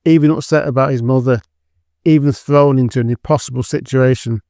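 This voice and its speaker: A male narrator reads a scripted dramatic story. His voice is smooth and clear, of medium pitch, in a very dry recording. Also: steady tone, fairly quick, warm tone, no echo.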